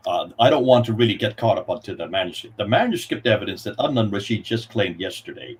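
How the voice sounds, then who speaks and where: A man's voice: fairly low pitch, big pitch swings, quick pace, slightly rough. A man speaking as a guest in a religious debate, arguing in an emphatic, insistent way. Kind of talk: argumentative religious debate talk.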